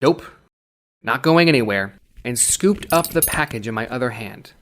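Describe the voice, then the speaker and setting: A man reading first-person fiction dramatically, with animated, expressive delivery. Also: medium pitch, normal speed, a little hiss or hum. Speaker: short story reader. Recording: loud synthwave music bed. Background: synthwave music.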